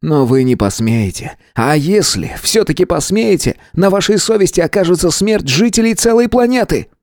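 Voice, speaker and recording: A man reading aloud, voicing a frightened, near-hysterical male Earthman who talks shrill and pleading. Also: lively intonation, moderate pace, a little mellow, dry recording, studio quality. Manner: shrill, pleading, rising to squeals.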